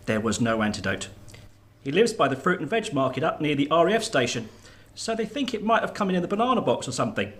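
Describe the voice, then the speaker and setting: A man's voice: on the high side, a bit bright. A man acting in a radio drama as a callous, sardonic general who can sound smug or flustered. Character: callous military general, smugly mock-innocent, prone to fluster.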